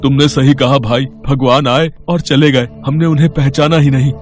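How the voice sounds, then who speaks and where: A man playing a remorseful, devout festival-goer in an animated devotional story. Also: medium pitch, normal speed, over music, lightly acted.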